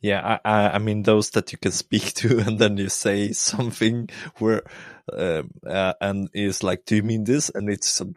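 Low-voiced Swedish man hosting. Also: moderate pace, no echo, clean studio sound. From Sweden. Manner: relaxed, agreeable, a little hesitant. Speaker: host. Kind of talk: casual tech interview.

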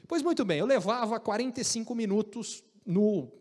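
A man with a Brazilian Portuguese accent telling an animated, joking story about his career, with frequent restarts. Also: high-pitched, moderate pace, big-room sound. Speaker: speaker recounting career story. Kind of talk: casual storytelling talk.

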